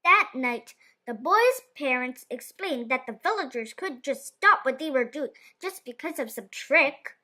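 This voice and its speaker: A woman's voice: high-pitched, animated, unhurried, sharp tone. A woman storyteller narrating a children's picture book expressively.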